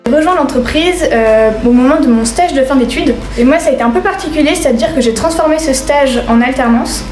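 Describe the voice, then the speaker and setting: A woman in her twenties from Brittany, giving a casual workplace testimony with frequent hesitant pauses. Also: high-pitched, a little mellow. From Brittany, France. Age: 20s. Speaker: company employee giving testimony. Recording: roomy, distant mic, background music.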